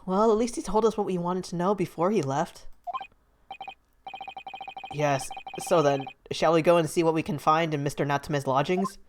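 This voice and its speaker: A woman's voice: on the low side, moderate pace, noisy background, lightly acted. A woman voicing a polite, deferential young investigator while reading video game dialogue. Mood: courteous. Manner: courteous, formal.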